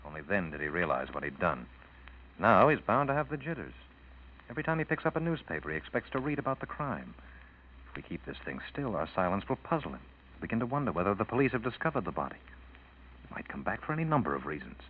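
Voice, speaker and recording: An actor playing a patient, brooding police detective in a 1940s radio drama, measured as he lays out his theories. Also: sits low, very animated. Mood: intent, brooding. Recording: tinny vintage radio recording, faint noise. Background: faint noise.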